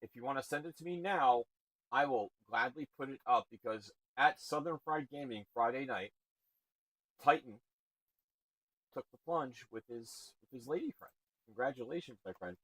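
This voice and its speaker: A man with a booming voice hosting a live stream, energetic and shouting out viewers. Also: moderate pace, slightly rough, no room sound, poor audio. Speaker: stream host.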